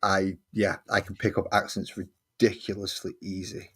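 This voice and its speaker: A man's voice: low voice, little pitch movement, normal speed, a bit bright, breathy edge. An English man chatting casually and self-deprecatingly while building a hobby model on his stream. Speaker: hobby streamer painting miniatures. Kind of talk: casual chat while crafting.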